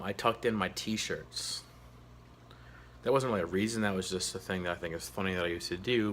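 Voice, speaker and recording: A man with a very low voice and a lifelong stutter speaks straight to the camera, halting and earnest. He fills his pauses with ums and uhs. A faint noise sits behind him. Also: a bit bright. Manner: earnest and open. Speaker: teacher. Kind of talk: personal story told to camera.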